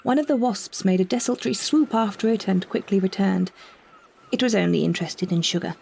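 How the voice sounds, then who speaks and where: A woman reading a first-person literary story in a measured, descriptive way. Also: mid-range voice, vocal fry, darkish tone, slight background noise. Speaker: narrator and performer of a short story.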